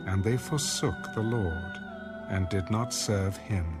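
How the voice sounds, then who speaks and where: A man reading scripted audio Bible narration in a measured, solemn manner. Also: low voice. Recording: music under the voice.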